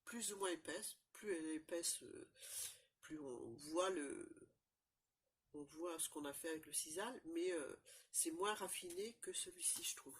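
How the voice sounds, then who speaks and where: A woman's voice: on the low side, measured pace, husky. Chatty woman with a head cold. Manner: chatty, rambling, constant fillers and "voilà". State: has a cold, stuffy. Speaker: crafting channel host. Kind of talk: casual show-and-tell crafting demo.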